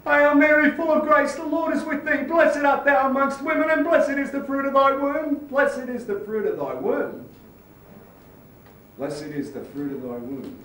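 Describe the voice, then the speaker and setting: Mad, haunted seaman, high voice, volatile stage delivery, wide swings, distant theatre recording. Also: animated, moderate pace, long pauses, a bit bright. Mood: fervent praying. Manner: dramatic, intense storytelling with sudden outbursts. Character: mad, haunted sailor confessing a childhood near-drowning. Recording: echoey theatre, distant mic, faint noise.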